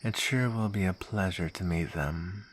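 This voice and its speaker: A man's voice: low-pitched, moderate pace. A man playing a smug soldier villain in an ASMR roleplay, taunting and drawling with mock sweetness and menace.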